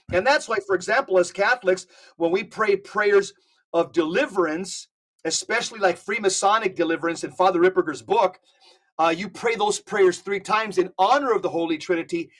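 A man's voice: sits high, a bit bright. A man talking on a podcast, emphatic and often repeating words as he gathers his thoughts. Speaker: podcast co-host. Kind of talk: casual podcast conversation.

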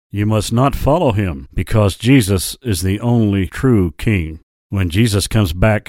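A man voicing a gentle but serious lion messenger in a children's story, preaching earnestly. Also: lowish voice, no echo, close to an everyday voice.

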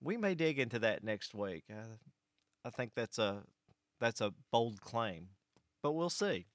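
An American man with a clear, smooth, mid-pitched voice, recorded dry and close. He tells a personal story to listeners as a host, setting it out in measured, short phrases. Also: sing-song, no echo. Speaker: vlog host and electric cooperative employee. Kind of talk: first-person anecdotal monologue to an audience.

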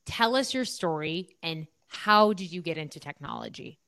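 Lower-pitched woman hosting a podcast. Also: lively intonation, normal speed, fairly bright tone, clear, resonant tone, no room sound, studio quality. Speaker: podcast host.

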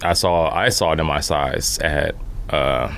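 A man's voice: very deep voice, measured pace, gravelly, fairly bright tone. A man talking casually as a podcast guest, telling things in slangy, anecdotal fashion and quoting exchanges. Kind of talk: casual chat. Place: podcast studio.

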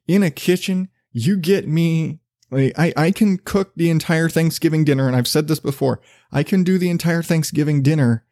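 A man with a relatively low voice hosting his own podcast, rambling casually with a loose, profane, self-deprecating manner and frequent restarts. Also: mellow, no echo, clean studio sound. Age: not a millennial. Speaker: podcast host.

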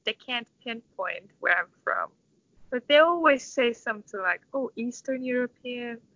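A woman in her twenties from Istanbul with a Turkish accent, answering interview questions in a thoughtful, halting, conversational way. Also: fairly high pitch, animated, a bit bright, dry recording, highs cut off. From Istanbul, Turkey. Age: 20s. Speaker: interview guest, orchestral conductor.

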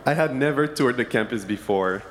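A young man from Senegal giving an earnest, measured testimony to a church congregation. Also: mid-range voice, noisy background. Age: young adult. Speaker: student speaker in church service. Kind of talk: prepared testimony. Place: church sanctuary. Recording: long hall reverb.

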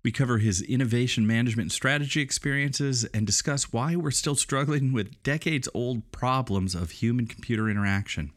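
A man hosting a podcast, reading a scripted introduction to his guest in a measured, even way. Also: mid-range voice, no echo, studio quality. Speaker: podcast host.

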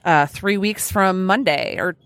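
An enthusiastic woman hosts a casual interview podcast. Her delivery is effusive and giggly, at an average pitch for a woman, and the sound is dry with little room in it. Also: unhurried, fairly bright tone, no room sound. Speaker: podcast host.

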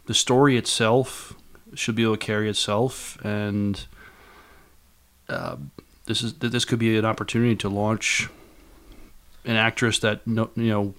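A man on a podcast panel speaking casually, in a measured and somewhat hesitant way. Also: fairly low pitch, steady tone, fairly bright tone. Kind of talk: casual discussion.

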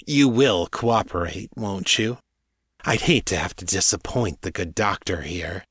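A man's voice: low voice, unhurried, fairly bright tone, slightly rough, dry recording, studio quality, exaggerated voice. A man voicing a menacing male captor in an audiobook, speaking with threatening mock courtesy. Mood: coolly threatening.

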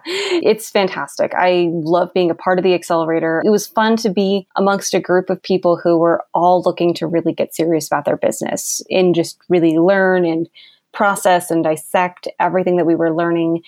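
A woman's voice: fairly low pitch, steady tone, moderate pace, darkish tone, no echo. A young woman guest on a podcast interview, talking in a bubbly, enthusiastic way and laughing often. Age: young adult. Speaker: guest copywriter.

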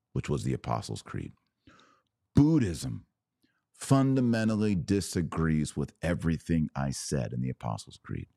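A man hosting a religious podcast, preaching in an emphatic, declarative way with deliberate pauses and repetition. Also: low-pitched, mellow, dry recording, studio quality. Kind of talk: preaching monologue.

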